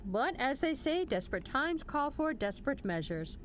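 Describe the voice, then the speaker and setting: A woman's voice: high-pitched, very animated, moderate pace, soft background music. A woman playing a stern military general in an audio drama, formal and authoritative with a dry, wry edge. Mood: grave, deliberate. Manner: formal, authoritative briefing delivery. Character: stern, commanding female military general, measured and dryly wry. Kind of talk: scripted audio drama acting.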